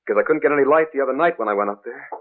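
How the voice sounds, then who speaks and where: An actor playing a calm, practical husband in an old radio horror drama, matter-of-fact and brisk. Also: male voice, medium pitch, close to an everyday voice. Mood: calm, concerned. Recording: thin, phone-like old radio recording.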